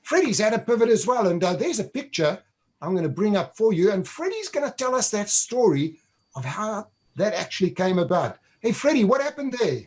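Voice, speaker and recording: A man's voice: high-pitched, very animated, a little fast. A man from Africa, hosting a webinar over a video call and talking in an upbeat, conversational way. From South Africa. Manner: upbeat, conversational, leads the guest with questions. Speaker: webinar host. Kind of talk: webinar presentation.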